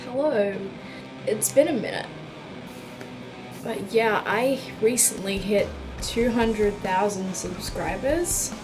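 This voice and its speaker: A woman's voice: mid-range voice, takes it slowly, pauses often, poor audio. Chatty young woman over music. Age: 20s. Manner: chatty, hesitant with many likes and ums. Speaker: YouTube musician. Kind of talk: casual Q and A vlog.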